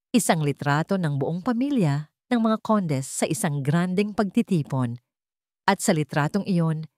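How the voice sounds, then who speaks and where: A woman's voice: lowish voice, a little mellow, no echo, studio quality. A woman narrator reading a scripted romantic drama story in a dramatic storytelling style.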